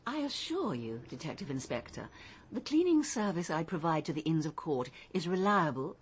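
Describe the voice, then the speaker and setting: Prim, expressive English businesswoman. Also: low voice, moderate pace, slightly rough, exaggerated voice. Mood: prim, assured. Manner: formal, precise, prim. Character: proper, proud female cleaning agency proprietor. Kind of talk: radio drama acting.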